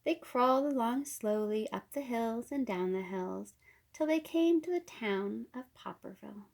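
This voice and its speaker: A woman with a fairly high voice reads a picture book aloud for children. She tells the story without rushing, leaving deliberate pauses between phrases. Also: vocal fry. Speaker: storybook reader.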